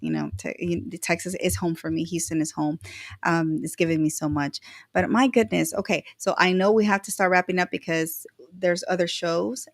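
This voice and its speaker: Chatty low-voiced woman host. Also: steady tone, normal speed, no room sound, clean studio sound. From El Salvador. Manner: chatty, jokey, many fillers. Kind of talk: casual panel chat.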